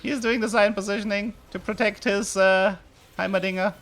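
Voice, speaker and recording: A man from southern Germany with a German accent, a streamer chatting with viewers and commenting on his game, with sudden excited outbursts. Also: sits high, moderate pace, faint music behind.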